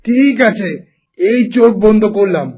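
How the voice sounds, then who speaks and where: A man's voice: high voice, a little slow, a little mellow. A man playing a dramatic, lovestruck merman prince in a Bengali fairy-tale cartoon, with a villainous streak. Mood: lovestruck, eager. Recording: music behind the voice.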